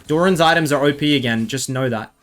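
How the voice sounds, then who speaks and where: A man's voice: a little high, fast talker, a bit bright, soft background music. An Australian man giving rambling, self-mocking commentary over gameplay, full of chatty asides. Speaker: gaming video creator.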